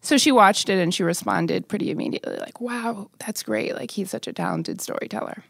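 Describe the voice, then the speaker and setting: A woman's voice: mid-range voice, brisk, vocal fry, no room sound. A woman interview guest casually recalling personal memories in a studio.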